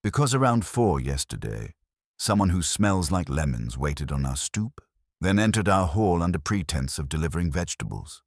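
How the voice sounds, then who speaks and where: A man's voice: low-pitched, big pitch swings, moderate pace, no room sound, clean studio sound, exaggerated voice. A man playing a confident, wisecracking private investigator's assistant in an audiobook, wry and punchy. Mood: wry, brisk.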